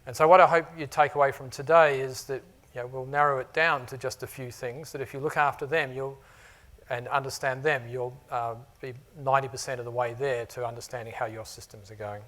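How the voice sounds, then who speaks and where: A man's voice: medium pitch, fairly even intonation, brisk, a bit bright. New Zealand man presenting casually. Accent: New Zealand, mixed with Australian. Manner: conversational, many fillers like "you know" and "uh". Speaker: technology architect presenting to customers. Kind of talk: conference presentation. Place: conference room before a live audience.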